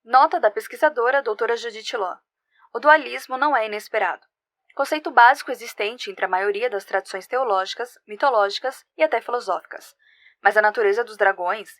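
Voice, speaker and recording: A woman's voice: a little high, a bit bright, no echo, natural voice. A woman voicing a senior history researcher, reading scripted research notes in a formal, analytical manner. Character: female senior history researcher, scholarly and measured. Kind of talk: scripted report narration.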